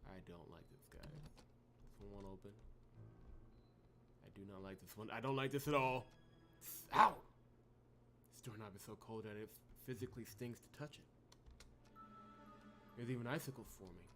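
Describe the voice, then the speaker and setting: Wary, gloomy visual novel lead, read by a male player, low-key and muttering, pitched low. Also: normal speed, pauses often, noisy background, close to an everyday voice. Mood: uneasy. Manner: subdued, brooding, muttered. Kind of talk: reading game text aloud.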